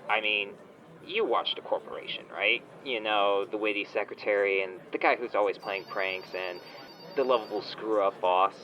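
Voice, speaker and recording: A man's voice: fairly low pitch, steady tone, moderate pace, a little hiss or hum, lightly acted. A man playing a smug, entitled online shop owner on a phone call in a comedy audio drama, whiny, condescending and petulant in casual slang. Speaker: caller, complaining customer.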